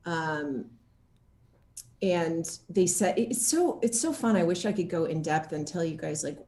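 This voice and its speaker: A woman's voice: on the low side, expressive, a little slow, dark tone. A woman chatting casually as an interviewed actor, bubbly and giggly, with playful slang, recorded in a room at home. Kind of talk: casual chat in a video interview. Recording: echoey room.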